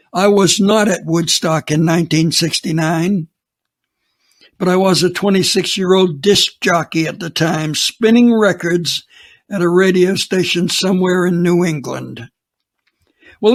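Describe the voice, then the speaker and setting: A man in his late seventies telling a reflective personal story to listeners in a creaky older voice. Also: high-pitched. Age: 70s. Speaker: producer and director.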